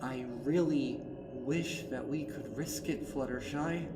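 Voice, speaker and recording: A man voicing a stern young female unicorn with a sharp, urgent, commanding manner in a horror story reading. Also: mid-range voice, steady tone, takes it slowly, echoey room, distant mic, heavy background noise, pitched above the performer's own voice. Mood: grim, firm.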